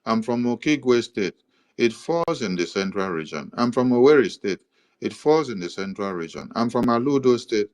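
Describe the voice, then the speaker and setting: A man with a Nigerian accent giving an explanatory monologue, listing items in a steady, repetitive rhythm with occasional hesitations. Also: medium pitch, moderate pace. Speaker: commentator presenting a map.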